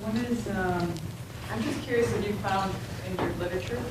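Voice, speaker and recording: A woman in a lecture audience asks her questions tentatively, stopping to search for words. Her voice is distant and washed in heavy room echo. Loud background noise competes with her. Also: medium pitch, moderate pace. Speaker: audience member. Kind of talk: asking questions.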